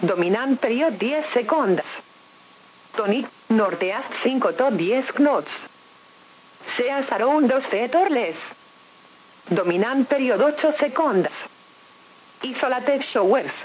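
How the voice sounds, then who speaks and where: A synthetic female announcer reading an automated marine forecast over the radio in a measured, impersonal way. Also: a little high, lively intonation, poor audio. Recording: hissy weather radio reception.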